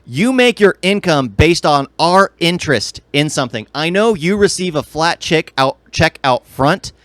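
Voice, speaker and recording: A man's voice: a little high, lively intonation, measured pace, a bit bright, no echo. A man co-hosting a podcast, giving blunt, opinionated takes in casual pop-culture chat. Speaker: podcast co-host.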